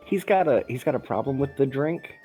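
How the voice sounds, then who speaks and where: A man narrating a tabletop game as dungeon master, relaxed with laughing asides. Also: mid-range voice, a little fast, soft background music.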